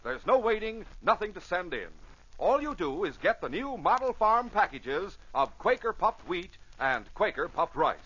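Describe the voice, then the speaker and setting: A man delivers punchy, scripted old-time radio announcing. His fairly high voice is forceful, with a raspy edge and emphatic swings. The recording is dull and vintage. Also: very animated, moderate pace. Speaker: announcer and narrator.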